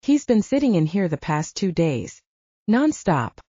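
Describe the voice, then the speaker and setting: A woman's voice: fairly low pitch, sing-song, a little slow, dark tone, no echo, dull audio. A woman voicing a tender, caring sister at a bedside in an audiobook, soothing and coaxing. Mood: gentle, relieved.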